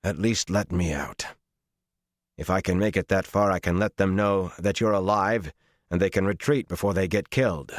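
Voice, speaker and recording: A male reader acts an earnest young warrior in a fantasy audiobook. He sounds low, firm and anxious, pleading with urgency. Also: normal speed, dry recording, clean studio sound, natural voice. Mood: troubled. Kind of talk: audiobook character acting.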